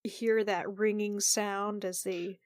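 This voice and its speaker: A woman's voice: medium pitch, level tone, slow, clean tone, no echo. Casual woman player, close dry sound. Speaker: tabletop roleplaying player. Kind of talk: casual game banter.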